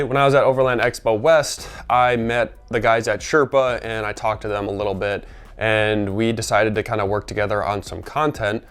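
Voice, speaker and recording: A man talks through a casual gear review while sick, his voice gravelly and worn. Under the roughness it stays fairly smooth and resonant, and he rambles along with plenty of fillers. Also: on the low side. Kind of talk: casual product review vlog.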